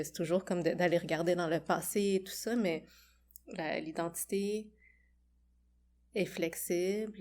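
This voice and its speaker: A woman's voice: on the low side, slight background noise. A young woman with a Quebec French accent, hosting a podcast and musing conversationally. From Quebec, Canada. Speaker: podcast host. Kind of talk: casual conversation.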